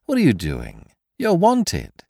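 Man playing a smug gentleman villain, wildly swooping voice. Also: on the high side, huge pitch swings, takes it slowly, gravelly, no room sound, clean studio sound, voice pushed up, heavily acted. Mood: mocking, teasing. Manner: mock-polite, theatrical, teasing. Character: smug, dapper, mocking gentleman villain, taunting his victim.

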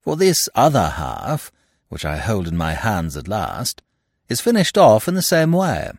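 A man puts on a suave gentleman thief who taunts and teases in a wildly swooping, sing-song voice. He sounds playful and theatrical, sliding between high and low notes. The voice is close and dry. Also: huge pitch swings, a little slow, no echo, heavily acted. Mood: taunting. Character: mocking suave gentleman thief, male adult.

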